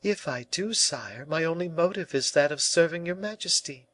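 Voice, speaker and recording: A man's voice: a little high, expressive, normal speed, fairly bright tone, voice pushed up. A man voicing an earnest, devoted young noblewoman of a royal court, pleading in formal speech for an audiobook. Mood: devoted, impassioned.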